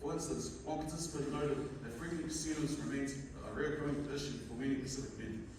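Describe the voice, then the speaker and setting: A young man from Fiji with a Fijian accent gives a conference presentation as a student researcher, reading in a halting way with stumbles. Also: medium pitch, fairly even intonation. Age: young adult. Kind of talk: conference presentation, partly read from notes. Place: indoor conference room at a university. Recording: echoey hall, distant mic, noisy room.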